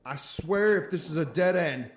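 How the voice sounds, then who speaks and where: A man's voice: fairly high pitch, moderate pace, a little hiss or hum, natural voice. An actor playing a reluctant, nervous young man in an audio drama, grumbling, in a very echoey space. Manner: grudging, nervous.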